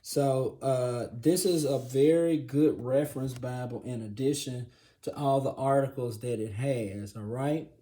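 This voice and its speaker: A man hosting a casual Bible review video, his voice raspy and hoarse, often clearing his throat, and checking in with the viewer again and again. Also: medium pitch, measured pace. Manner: relaxed, filler-heavy, says "okay?" often. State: hoarse from congestion. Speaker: channel host reviewing a Bible.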